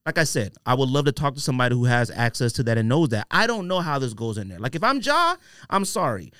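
A man's voice: medium pitch, big pitch swings, fast, fairly bright tone, clear, resonant tone, no room sound, clean studio sound. A man casually debating as a podcast host, emphatic, blunt and slangy in his opinions.

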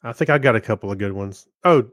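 A man's voice: on the low side, lively intonation, fast, a bit bright, dry recording, studio quality. A man co-hosting a podcast in casual, conversational style, reacting to his partner. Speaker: podcast co-host. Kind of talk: casual chat, reacting to co-host.

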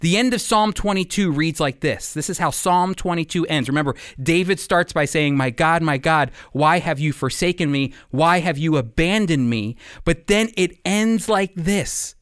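A man delivers a sermon in a smooth, clear voice pitched a little high. He speaks earnestly, leaning on key phrases and reading scripture with dramatic weight. The sound is dry and close. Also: lively intonation, moderate pace, hardly any pauses, a bit bright, no echo. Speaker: preacher. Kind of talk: sermon with scripture read aloud.

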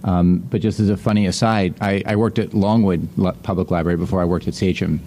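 A man's voice: low voice, steady tone, quick pace, dark tone, slight background noise. A middle-aged man hosting a casual panel conversation, speaking in a chatty, rambling, anecdotal way. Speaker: podcast host.

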